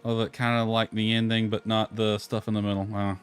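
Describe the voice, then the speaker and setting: A man's voice: on the low side, level tone, fairly quick. A man giving casual gameplay commentary, muttering to himself in a laid-back way and reading bits of game dialogue aloud. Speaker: gaming video creator. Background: soft lo-fi music.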